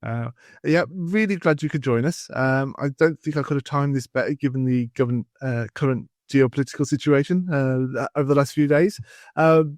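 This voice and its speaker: A man's voice: mid-range voice, normal speed, a little mellow, dry recording, studio quality. A young man from England hosting a podcast, introducing his guest and asking loose, rambling questions full of fillers. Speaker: podcast host. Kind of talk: podcast interview.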